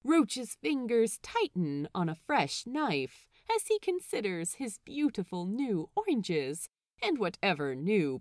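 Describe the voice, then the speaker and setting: A woman reading a story aloud as narrator, in an expressive, scripted storytelling style. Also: fairly low pitch, no echo, studio quality.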